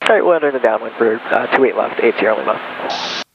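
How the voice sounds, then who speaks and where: A man's voice: medium pitch, animated, quick pace. A man speaking as a pilot in a small plane, explaining things casually step by step and making radio calls over a headset. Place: small plane cockpit in flight. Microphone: aviation headset. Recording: dull aircraft headset audio, loud engine noise.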